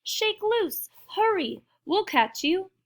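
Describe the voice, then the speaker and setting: Lady doing a tiny worried prairie dog, really high n pleading, kids storybook. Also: lively intonation, takes it slowly, sharp tone, heavily acted. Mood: urgent. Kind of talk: children's storybook read-aloud.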